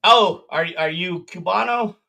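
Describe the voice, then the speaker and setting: Bright-voiced joking male podcast host. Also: high-pitched, a little slow, creaky. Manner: joking, rambling, teasing. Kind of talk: casual chat on a livestream.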